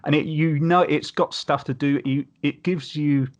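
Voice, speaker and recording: A man's voice: a little high, fairly even intonation, normal speed, dull audio. Man rambling keenly on a podcast. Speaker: podcast panelist. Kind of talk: casual podcast discussion.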